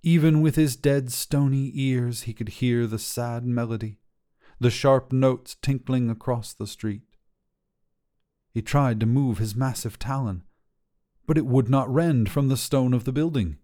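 A man narrates a piece of short fiction in a warm, mellow voice. His delivery is that of a literary storyteller, measured and scripted, at a medium pitch.

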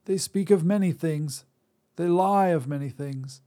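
A man's voice: on the high side, a little slow, mellow, voice pushed up, close to an everyday voice. A man voicing an old, weary witch woman who speaks in a wry, mocking, lilting way.

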